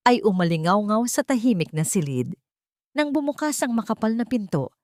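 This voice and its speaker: A woman's voice: medium pitch, a little mellow, no room sound, clean studio sound. A woman reading a scripted, dramatic story as its narrator. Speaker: storyteller, narrator of love stories.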